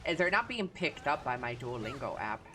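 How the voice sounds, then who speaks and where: Crude Texan woman streamer, lively voice. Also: rumbling low, moderate pace, a little hiss or hum. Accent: Texan Southern. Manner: crude, profane, laid-back. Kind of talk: casual gaming stream chat.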